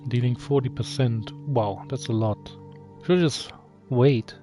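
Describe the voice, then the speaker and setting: A man's voice: mid-range voice, measured pace, dark tone. Man musing aloud over game music. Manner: musing, asks himself questions. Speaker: video game player and channel host. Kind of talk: casual gameplay commentary, thinking aloud and reading menu text.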